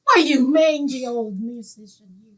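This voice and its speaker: A woman's voice: high-pitched, normal speed, fairly bright tone, distant mic. A woman playing a vain, gabby, prickly landlady in old-time radio comedy style. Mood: indignant. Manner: haughty, indignant, effusive.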